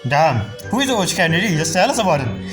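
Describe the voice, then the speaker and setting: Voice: mid-range voice, very animated, fast talker. A game streamer casually reacting to the story and reading dialogue choices aloud. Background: game music.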